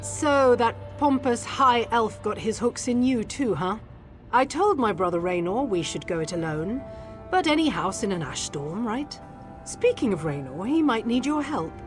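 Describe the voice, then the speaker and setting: A woman's voice playing a wry young dark elf explorer, speaking casually in scripted game dialogue. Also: on the high side, very animated, normal speed, over music.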